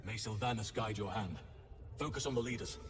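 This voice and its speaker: An actor voicing a grave, resolute druid leader in a video game, speaking in a measured, formal way. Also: male voice, lowish voice, lightly acted. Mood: commanding, solemn. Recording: echoey hall, distant, under loud music.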